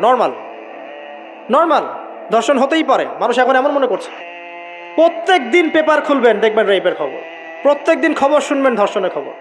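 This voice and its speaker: High-pitched Bangladeshi male preacher over music. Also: poor audio. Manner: emphatic, repeats key phrases, asks rhetorical questions. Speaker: Islamic preacher. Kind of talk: waz sermon to an audience.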